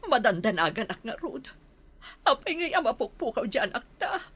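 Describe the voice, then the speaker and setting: A distraught mother in a Filipino radio drama. Her voice is husky and fairly high, fretful, with an Ilocano accent. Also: very animated, normal speed, fairly bright tone, heavily acted. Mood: anxious, distraught. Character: worried anxious mother of a missing child.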